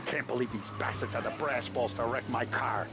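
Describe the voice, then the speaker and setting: An actor playing a hot-tempered older mob boss who is gruff, profane and commanding in a game cutscene. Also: male voice, medium pitch, fairly even intonation, fairly quick, loud music behind the voice, close to an everyday voice. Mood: bitter. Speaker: crime boss.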